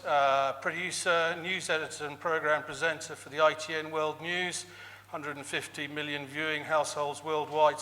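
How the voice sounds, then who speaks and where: A man's voice: fairly high pitch, bright, forceful tone. A man giving a hustings speech as an election candidate in a formal, wordy manner. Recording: echoey hall with long reverb.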